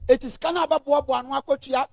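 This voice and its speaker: A man's voice: very high voice, steady tone, quick pace, gravelly, crowd noise. A man with a Ghanaian accent speaking in an emphatic, declaring way.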